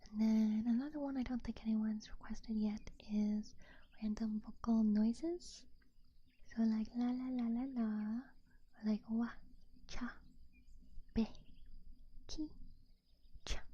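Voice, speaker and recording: Slow, whispering woman, muffled ASMR. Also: on the high side, little pitch movement. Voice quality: whispery, breathy. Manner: gentle, unhurried. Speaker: ASMR streamer. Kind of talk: ASMR, casual chat with live viewers. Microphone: binaural ear microphone close to the face.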